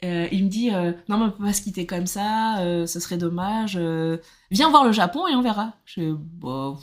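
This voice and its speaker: A French woman in her thirties, a podcast guest, telling her story in lively casual talk and acting out what others said. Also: mid-range voice, moderate pace. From France. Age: 30s. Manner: animated, acts out quoted dialogue, laughs often.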